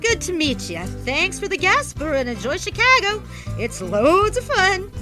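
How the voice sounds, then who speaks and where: A woman's voice: very high voice, sing-song, a little slow, music in the background, heavily acted. A woman playing a flirtatious, brassy, slangy 1920s city woman in a period audio drama. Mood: flirty, teasing.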